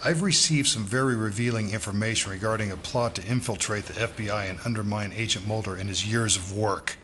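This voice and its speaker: A man playing a gruff, indignant senior FBI boss in an audio drama, blunt and demanding. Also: lowish voice, fairly quick, a bit bright, a little hiss or hum, natural voice.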